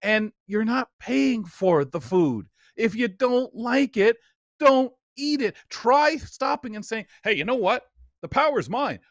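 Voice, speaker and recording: A man's voice: extremely high pitch, expressive, a little slow, no room sound, clean studio sound. A man hosting a radio talk show in an emphatic, folksy, rambling conversational way.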